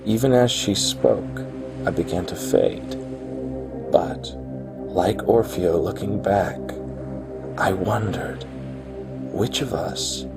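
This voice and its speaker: An actor in a radio play voicing the devoted, insistent holographic copy of a dead programmer, pleading and earnest. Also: male voice, low-pitched, slow, long pauses, music in the background. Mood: wistful narration.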